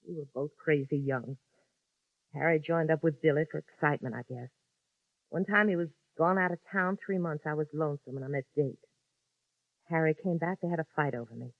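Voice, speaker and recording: A woman's voice: sits low, a little fast, dry recording. An actress playing a frightened, lonesome young woman who pleads urgently, in a 1950s radio drama. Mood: confiding. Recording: dull lo-fi 1950s radio recording.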